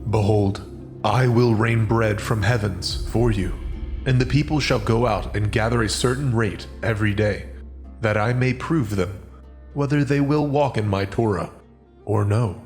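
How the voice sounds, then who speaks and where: An actor playing God as a solemn, commanding male voice, slow and stately, in a dramatized scripture reading. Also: lowish voice, a little mellow, soft background music, close to an everyday voice.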